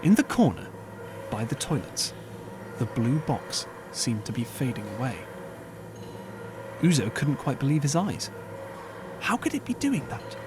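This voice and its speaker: A man narrating an audiobook as scripted storytelling, measured and earnest, with dramatic pauses. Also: mid-range voice, sing-song, noisy background. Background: clear background music.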